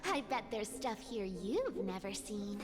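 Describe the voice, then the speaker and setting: A woman's voice: medium pitch, big pitch swings, unhurried, soft background music. A woman playing a cheeky, playful young female catlike thief in a video game, with a showy, teasing manner. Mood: showing off.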